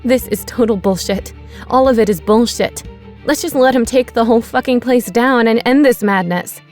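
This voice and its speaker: A woman's voice: mid-range voice, a little slow, darkish tone, faint music behind, close to an everyday voice. A woman reading voicing a grieving young woman who screams in fury. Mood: furious. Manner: screaming, furious.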